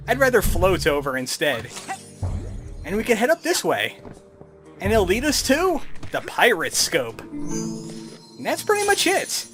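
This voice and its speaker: A man giving casual, snarky let's play commentary as he plays a video game. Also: sits high. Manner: snarky, wry. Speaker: gamer, let's play host. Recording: commentary over game music.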